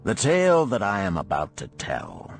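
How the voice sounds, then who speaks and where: A man's voice: lowish voice, wildly swooping pitch, normal speed, gravelly, faint music behind, voice pushed up, heavily acted. A man voicing a boisterous, blunt middle-aged camel trader in an audiobook parable, declaiming in archaic phrases. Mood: boastful, storytelling.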